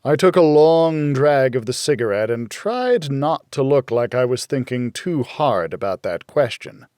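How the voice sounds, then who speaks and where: A man narrating a first-person noir thriller in a measured, hardboiled manner. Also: medium pitch, expressive, a little mellow, no room sound, clean studio sound. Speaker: audiobook narrator.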